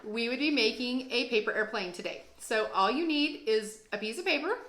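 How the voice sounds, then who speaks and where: A woman's voice: on the high side, lively intonation, normal speed, a bit bright, roomy sound, a little hiss or hum. A woman who teaches second grade, reading and explaining things to children in an encouraging, self-deprecating way. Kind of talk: read-aloud storytelling and casual explaining for children.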